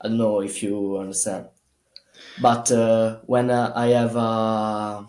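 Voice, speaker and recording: A young man with an Italian accent answers interview questions in careful, broken English. He talks slowly and stops often to find the next word. His voice is soft and mellow, and the room around him echoes. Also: lowish voice, flat delivery. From Italy. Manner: halting, many fillers and restarts. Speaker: gymnast guest.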